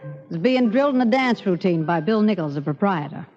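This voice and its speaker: A woman's voice: mid-range voice, lively intonation, brisk, lightly acted. An actress playing a frank, anxious Old West chorus girl who narrates in a 1940s radio drama. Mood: wistful storytelling. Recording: muffled old radio recording with music.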